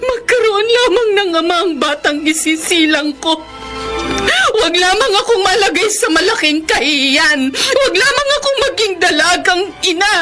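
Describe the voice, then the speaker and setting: A woman playing a bitter, vengeful jilted lover in an emotional radio melodrama, with halting, repeated phrases. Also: extremely high pitch, animated. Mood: tearful anguish. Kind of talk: Filipino radio melodrama acting. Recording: music behind the dialogue.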